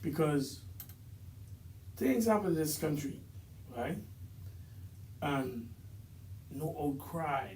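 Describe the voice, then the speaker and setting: A man chatting on a podcast, halting and repeating his words as he makes a serious point. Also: on the high side, expressive, darkish tone, distant mic, slight background noise. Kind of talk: casual podcast chat. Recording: roomy echo.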